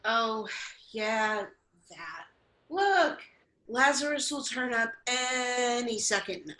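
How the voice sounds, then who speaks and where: A woman's voice: a little high, expressive, slow pace, bright, forceful tone, reverberant, exaggerated voice. A woman playing a bossy, brusque yet reassuring sister in a staged comedy reading. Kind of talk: staged reading of a comic play.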